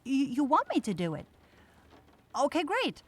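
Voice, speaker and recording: A woman's voice: high voice, wildly swooping pitch, moderate pace. A woman playing a kind young forest witch in an ASMR fantasy roleplay, shy and caring, easily flustered into stammering. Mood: caring, tender.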